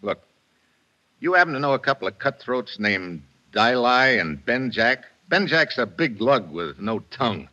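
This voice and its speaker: A man's voice: mid-range voice, very animated, unhurried, pushed and bright. A man acting a wry, hard-boiled American adventurer in an old radio drama. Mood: guarded, sardonic. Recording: muffled, noisy vintage radio recording.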